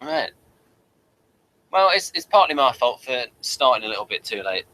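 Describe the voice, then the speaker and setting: A man's voice: medium pitch, sing-song, normal speed, pushed and bright, low-quality recording. A man hosting a review show in casual chat, earnest and literal-minded.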